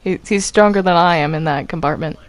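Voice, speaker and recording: A woman's voice: on the low side, brisk, a little hiss or hum. A woman chatting casually while gaming, giggly and rambling as she reacts aloud. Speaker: player in a Minecraft roleplay group.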